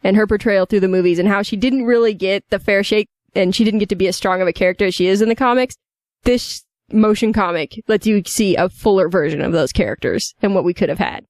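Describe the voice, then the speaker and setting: A woman's voice: mid-range voice, quick pace, darkish tone, no room sound. A woman co-hosting a casual podcast, speaking in an articulate, orderly way. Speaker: podcast co-host.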